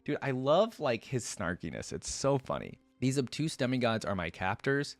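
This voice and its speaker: A man reading a story aloud and breaking into casual, expressive, slangy commentary as a reaction host. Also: mid-range voice, moderate pace, no echo.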